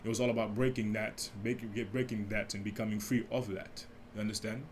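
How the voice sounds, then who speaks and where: A young man with a deep, mellow voice hosting a casual solo podcast, telling a story with many restarts and asides. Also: level tone, brisk, slight background noise. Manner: rambling, many restarts and asides, speaks softly. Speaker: podcast host.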